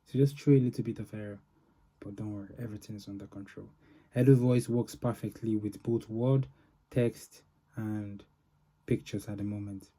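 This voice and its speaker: A man with a low, very mellow and dark voice walks through a software demonstration. He speaks informally and haltingly, often pausing mid-phrase as he explains each step. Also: steady tone. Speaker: software developer presenting a demo. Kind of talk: informal product demo walkthrough.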